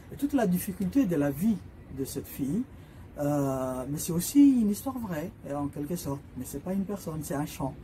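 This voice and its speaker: A man's voice: a little high, warm tone, a little hiss or hum, poor audio. A man whose first language is Somali, an author presenting his books in an informal talk, hesitating often with filler sounds and restarts as he explains. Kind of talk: informal spoken book presentation.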